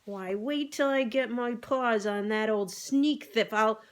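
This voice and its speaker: A woman's voice: a little high, takes it slowly, voice pushed up. Scrappy, hot-tempered animal voiced by a woman. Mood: indignant. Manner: excitable, blustering.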